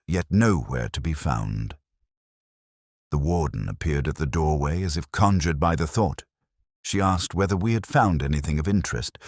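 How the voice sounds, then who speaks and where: Man as a very deep-voiced, weary detective narrator. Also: no echo, clean studio sound. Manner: measured, literary, brooding. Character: weary, pensive male detective inspector narrating his case. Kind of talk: scripted first-person mystery narration.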